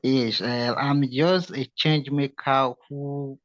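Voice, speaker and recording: A man from Nigeria introduces himself as a community change maker, haltingly and with many fillers. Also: mid-range voice, steady tone, fairly bright tone, dry recording. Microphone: video call. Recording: dry muffled video call audio.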